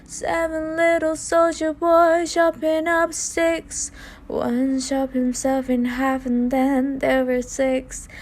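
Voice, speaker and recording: An eerie stage-play rhyme reciter acted by a woman, high and slow in a measured singsong. The delivery stays even and deliberate, with music playing underneath. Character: eerie nursery-rhyme reciter counting down deaths.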